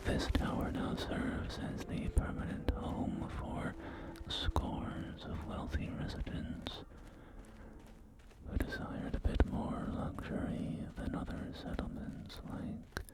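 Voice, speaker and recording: A man voicing a polite wasteland real estate agent in a whispered ASMR roleplay, with formal, softly persuasive sales patter. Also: takes it slowly, close to an everyday voice. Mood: reassuring, formal.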